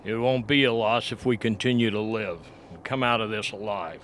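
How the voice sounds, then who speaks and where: A man playing a grave, earnest expedition doctor in a radio play, reasoning in a measured way. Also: on the low side, fairly even intonation, a bit bright, close to an everyday voice. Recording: faint background noise.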